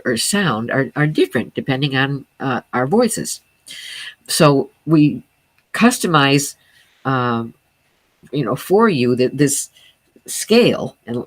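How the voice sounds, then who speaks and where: A woman with a low voice for a woman, a sound healing teacher speaking as a guest, explaining earnestly with many fillers and restarts. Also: no room sound.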